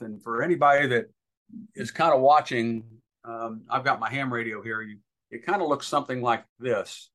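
A man's voice: mid-range voice, fairly bright tone. A man giving informal instruction as a guest expert, talking in an emphatic, advisory way.

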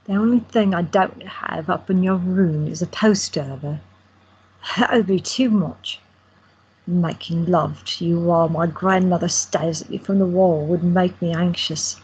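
A woman's voice: on the low side, a little slow, darkish tone, breathy edge, lo-fi sound, full character voice. A woman playing a teasing, affectionate young woman in an audio drama. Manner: playful, teasing.

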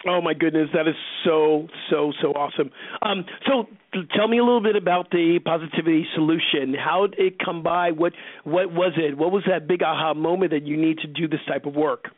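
A man's voice: a little high, a little fast, hardly any pauses, dry recording, narrow, tinny audio. A man hosting an interview show in a casual, informal and effusive conversational way. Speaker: podcast interview host.

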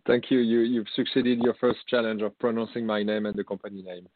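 A man from France with a French accent, giving conversational interview answers and pausing often mid-sentence. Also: fairly low pitch, flat delivery, background noise. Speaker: interview guest, company founder. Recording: roomless, narrow phone-line sound.

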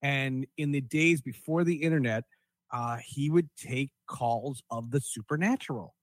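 A man's voice: mid-range voice, unhurried, dry recording. A man hosting a podcast in a casual, chatty style. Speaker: podcast host.

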